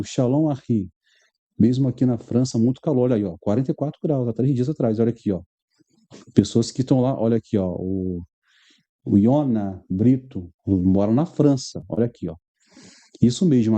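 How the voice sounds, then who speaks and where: A man's voice: mid-range voice, dark tone. A man with a Rio de Janeiro Brazilian accent, reading news aloud with conversational asides to his viewers as a religious commentary host.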